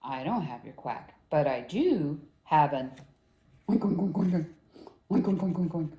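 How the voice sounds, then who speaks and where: A woman voicing an obliging farm animal in a storybook, deliberate, with drawn-out calls. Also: sits low, animated, echoey room, lo-fi sound. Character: slow, obliging farm animals offering their own sounds.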